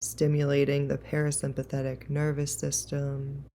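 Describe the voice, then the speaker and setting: A woman leading a guided meditation in a calm, gentle, unhurried and soothing way. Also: rumbling low, slight background noise.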